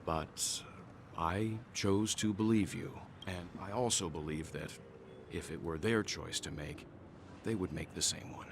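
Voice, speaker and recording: A man playing a steady, thoughtful gentleman in a game cutscene, speaking in a deliberate, reflective way. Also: low voice, background noise. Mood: reflective, sincere. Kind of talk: scripted game cutscene acting.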